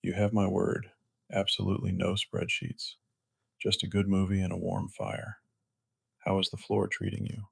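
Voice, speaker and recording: A man playing a protective, chivalrous romantic hero in an audio drama, with a husky, gravelly voice and an earnest, measured, reassuring manner. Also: deep voice, monotone, no echo, full character voice. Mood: easygoing.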